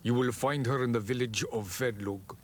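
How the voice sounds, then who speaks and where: An actor playing a wise, solemn elder of an island tribe in a fantasy game, speaking in a measured, ceremonial way. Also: male voice, medium pitch, natural voice. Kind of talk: fantasy video game cutscene acting.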